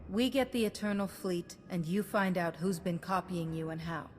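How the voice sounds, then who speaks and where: An actress playing a composed, resolute female commander in a video game, measured and authoritative. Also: fairly low pitch, steady tone, soft background music, natural voice.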